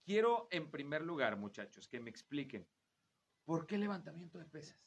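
A man's voice: on the high side, big pitch swings, moderate pace. A man with a Mexican accent hosting a conversational interview in a chatty, explanatory and wordy way. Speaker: interview show host. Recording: clear background noise.

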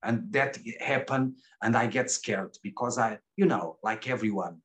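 A man's voice: on the low side, measured pace, a bit bright. Hesitant middle-aged man, stumbling and repeating. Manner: hesitant, restarts and repeats words often. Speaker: webcam broadcaster, guest. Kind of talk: candid interview answers.

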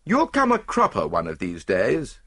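A man voicing an earnest, easily baffled gentleman sidekick in an audiobook, eager and incredulous. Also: lowish voice, huge pitch swings, moderate pace, full character voice. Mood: eager, huffy. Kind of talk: audiobook character dialogue.

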